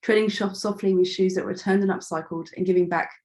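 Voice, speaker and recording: A middle-aged woman with a slightly croaky voice, giving an informal, self-deprecating talk about herself as a guest speaker, often feeling for her words. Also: medium pitch, fairly even intonation, fast, darkish tone. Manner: chatty, self-deprecating, hesitant phrasing. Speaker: start-up founder and guest speaker. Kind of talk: informal online talk about own background.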